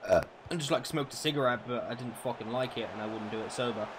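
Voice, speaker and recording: A man's voice: mid-range voice, quick pace, background noise. Chatty, swearing young male streamer. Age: 20s. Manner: chatty, swears freely, answers viewers' questions. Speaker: gaming streamer. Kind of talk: casual live-stream chat over a football game.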